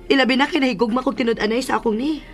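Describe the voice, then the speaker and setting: An actress playing a jealous young expectant mother in a radio drama, measured and wounded. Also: sits high, faint music behind, close to an everyday voice. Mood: hurt, guarded. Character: jealous pregnant young woman, hurt but restrained.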